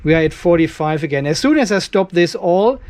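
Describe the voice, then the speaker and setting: A man's voice: on the high side, expressive, fairly quick, a little mellow. A man, hosting a hands-on workshop demo and explaining each step while he works in a cluttered garage workshop, filmed on a handheld camera held close. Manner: explains step by step while working, thinking aloud. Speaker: channel host.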